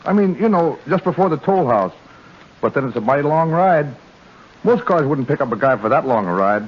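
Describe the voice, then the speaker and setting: A folksy American gas station attendant, chatty and plain-spoken, his fairly high voice swinging up and down. It is 1940s radio drama, muffled and noisy. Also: lively intonation, fairly quick, husky. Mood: incredulous.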